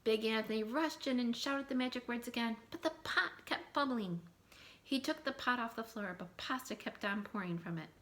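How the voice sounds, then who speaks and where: A woman reading a picture book aloud to children in an animated, expressive way, adding asides and questions in mock surprise. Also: fairly high pitch, normal speed, slight background noise. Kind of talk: animated read-aloud storytelling with asides to young listeners.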